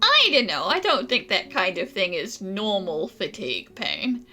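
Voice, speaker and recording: A woman's voice: mid-range voice, huge pitch swings, unhurried, bright, forceful tone. A woman playing a video game, commenting casually in an amused, playful manner. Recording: game music behind the voice.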